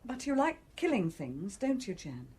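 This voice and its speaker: A woman's voice: medium pitch, sing-song, moderate pace, mellow, husky. A woman playing a cunning carer who coaxes and needles, in a radio drama. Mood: needling. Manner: coaxing, needling. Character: cunning female carer coaxing a dangerous youth.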